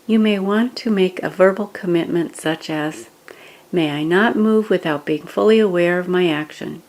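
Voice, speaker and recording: A woman leading a guided meditation, giving scripted instructions in a gentle, measured manner with pauses. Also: fairly low pitch.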